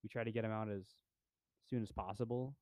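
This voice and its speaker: A man's voice: lowish voice, steady tone, brisk, warm tone, no echo, studio quality. A young man co-hosting a podcast, chatting casually about movies in a laid-back, rambling way with lots of fillers. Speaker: podcast co-host. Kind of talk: casual movie review chat.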